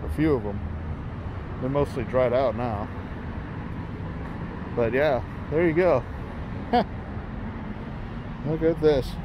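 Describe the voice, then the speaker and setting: A man talks casually to his handheld phone camera while walking outdoors, rambling and thinking out loud. His voice sits at an ordinary mid pitch. Clear traffic and street noise runs behind him. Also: slow, long pauses. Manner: rambling, musing aloud with fillers. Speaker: vlogger. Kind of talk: casual walking vlog narration. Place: outdoors in a parking lot by a closed restaurant.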